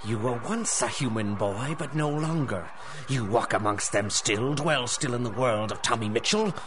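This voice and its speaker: An actor playing a menacing, mock-merciful lord of the rats in a radio drama, pompous and taunting. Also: male voice, mid-range voice, noisy background, natural voice. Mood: patronizing menace. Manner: pompous, taunting, drawn-out questioning. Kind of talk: 1930s-style radio drama acting.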